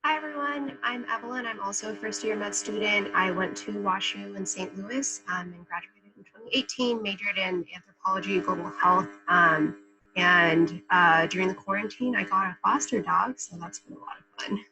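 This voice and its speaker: A woman in her twenties, a first-year medical student, introducing herself in a friendly, relaxed way on a video panel. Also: medium pitch, moderate pace, music in the background, low-quality recording. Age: 20s. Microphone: video call.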